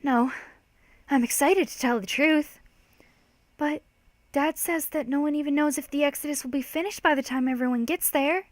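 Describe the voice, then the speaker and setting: Storybook sister voiced by a woman reader: earnest, hopeful, brave, raised pitch. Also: moderate pace, dry recording, lightly acted. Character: brave, hopeful, prematurely aged sister in isolation.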